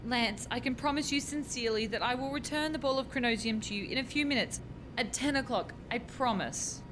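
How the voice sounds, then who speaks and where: An actress playing an earnest, bossy, harried young scientist in a radio farce, explaining things insistently. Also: female voice, on the high side, brisk, background noise, close to an everyday voice. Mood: harried, evasive. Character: earnest, bossy, harried female doctoral time-travel researcher.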